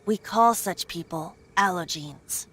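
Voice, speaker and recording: A woman's voice: on the low side, slow, a bit bright, slightly rough, heavily acted. A woman voicing a youthful, boyish male bard in a video game, airy, musing and confiding. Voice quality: light, boyish. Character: wistful, playful youthful bard secretly a wind god, male. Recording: faint background noise.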